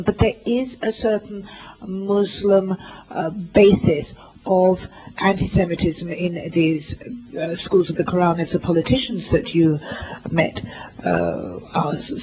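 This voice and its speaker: High-voiced man interpreting live. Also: darkish tone, a little hiss or hum, low-quality recording. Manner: halting, with many fillers and restarts while rendering others' words. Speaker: simultaneous interpreter. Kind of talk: live interpretation at a university lecture. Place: lecture hall.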